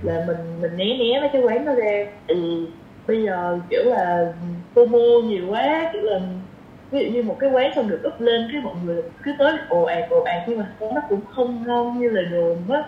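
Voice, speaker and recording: A woman's voice: fairly high pitch, expressive, brisk. Southern Vietnamese woman chatting, echoey room. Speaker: podcast guest. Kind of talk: casual conversational interview. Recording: echoey room, muffled, faint noise.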